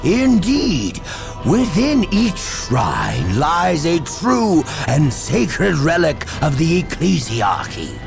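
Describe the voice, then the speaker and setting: An actor playing a solemn, zealous priest of a sci-fi holy order in a video game, with grandiose ceremonial diction and a gravelly voice. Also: male voice, a little high, huge pitch swings, very slow, exaggerated voice. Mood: grave, proud. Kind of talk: theatrical video game voice acting. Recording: music behind the voice. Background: game music.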